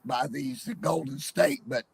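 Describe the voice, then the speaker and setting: A hoarse man with a cold calling into a live sports chat, rambling and hesitant with many fillers. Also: medium pitch, a little slow, no room sound. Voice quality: gravelly, husky. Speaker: caller on a live panel stream. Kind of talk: casual call-in chat.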